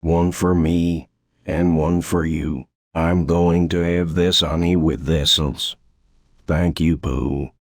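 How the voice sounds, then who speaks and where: A man voicing a glum, deadpan donkey in a children's storybook. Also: low-pitched, slow, dry recording, clean studio sound, full character voice. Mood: glum, resigned. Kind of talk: children's storybook character acting.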